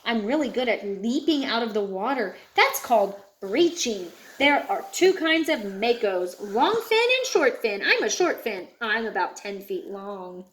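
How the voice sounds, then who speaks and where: A woman voicing proud sharks who explain themselves in a children's storybook, in a measured manner. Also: a little high, very animated, fairly bright tone, low-quality recording.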